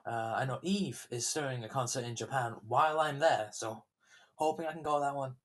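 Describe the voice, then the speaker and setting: A man's voice: medium pitch, fairly quick. Rambling young man hosting a podcast. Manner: rambling, chatty, many fillers and restarts. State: sore throat. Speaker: podcast host. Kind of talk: casual solo podcast chat.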